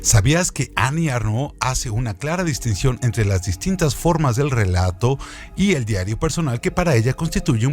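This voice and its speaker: A male presenter reads scripted literary trivia with a very animated, varied intonation. His delivery is didactic and built on rhetorical questions, over a faint bed of music. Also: mid-range voice. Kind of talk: scripted educational narration.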